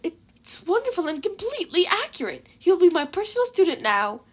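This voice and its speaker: A woman's voice: extremely high pitch, big pitch swings, moderate pace, pitched above the performer's own voice. A woman voicing a cheerful, scheming little male animal principal in a fan fiction reading, chirpy and politely insistent. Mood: chirpy, eager. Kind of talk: fan fiction audiobook character voice.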